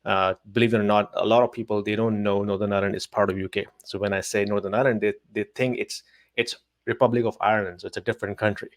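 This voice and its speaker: A man's voice: fairly low pitch, flat delivery, fast talker, no room sound, clean studio sound. A man in his forties from Pakistan, a telecom executive, giving thoughtful interview answers with many hesitations.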